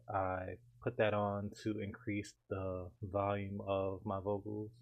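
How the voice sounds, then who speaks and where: A man with a deep voice calmly walking through his home studio mixing setup in a casual tutorial. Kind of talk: casual screen-share tutorial.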